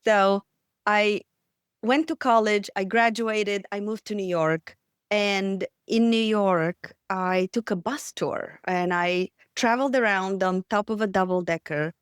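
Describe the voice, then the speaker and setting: Woman, Bulgarian accent, smooth resonant voice, dry close sound. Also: medium pitch, a little slow, no room sound. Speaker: podcast guest. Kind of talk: storytelling interview.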